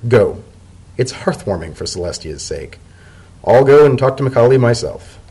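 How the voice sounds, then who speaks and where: Man voicing husky, deadpan pony official Dodded. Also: mid-range voice, normal speed. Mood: firm. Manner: deadpan, firm, understated. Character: Dodded, dignified, dry, dutiful male pony official. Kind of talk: dramatic story reading.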